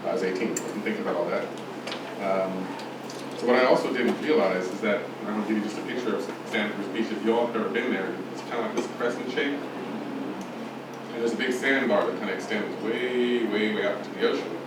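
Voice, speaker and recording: Soft-spoken man in echoey hall. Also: on the low side, heavy background noise. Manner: halting, many fillers and restarts. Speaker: conference speaker. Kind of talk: speech at a podium, personal story. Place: conference hall. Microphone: podium microphone. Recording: echoey hall from far off, with clatter of dishes.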